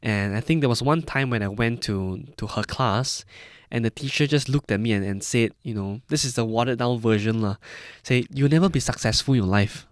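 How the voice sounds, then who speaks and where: A man's voice: medium pitch, a little fast, no room sound, clean studio sound. A man in his early twenties with a Malaysian-Singaporean accent, telling personal stories as a podcast guest. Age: early 20s. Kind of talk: personal storytelling in conversation.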